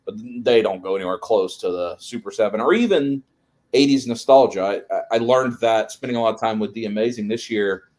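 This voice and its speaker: A man in his early forties hosting a relaxed interview, chatty and a bit stumbling as he shapes his questions. His voice sits slightly on the low side. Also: brisk. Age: 40s. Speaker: live stream host. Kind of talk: casual interview chat.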